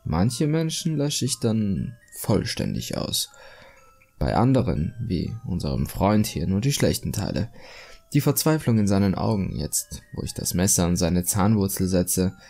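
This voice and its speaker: Man playing a murderous aesthete, low gravelly voice, dark tone, measured, confiding, coolly self-righteous, horror story monologue. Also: very animated, soft background music, heavily acted. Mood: calm menace. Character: self-satisfied murderous male sculptor obsessed with beauty, calmly cruel. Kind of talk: German creepypasta horror monologue, dramatic reading.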